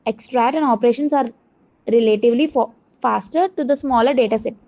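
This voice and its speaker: Woman student doing a scripted presentation, kinda high voice, stumbles and restarts words, muffled lofi sound. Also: mellow. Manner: halting reading with stumbles and self-corrections. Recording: dull, muffled lo-fi audio.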